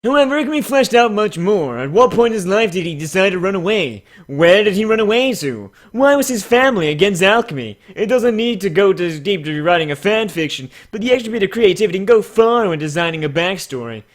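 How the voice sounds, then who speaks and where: A man performs a stern male critic for a comic dramatic reading. The voice is on the higher side, and the delivery is formal and articulate, handing down measured criticism with deliberate care. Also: expressive. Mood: measured, critical.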